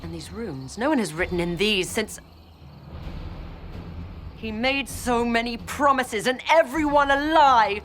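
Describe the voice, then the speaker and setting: Woman playing a proud, low-voiced mage commander. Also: expressive, moderate pace, hardly any pauses, a little hiss or hum, natural voice. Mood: bitter dismay. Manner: formal, grandiose, theatrical. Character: proud, idealistic young mage lieutenant, coldly resolute. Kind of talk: dramatic video game cutscene acting.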